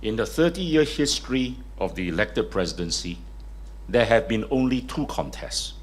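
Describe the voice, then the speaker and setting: A man giving a formal, measured speech at a press conference. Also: medium pitch, animated. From Singapore. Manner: measured, formal speaking delivery. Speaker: party leader and lawyer speaking at a press conference. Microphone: speaks without a mic at first.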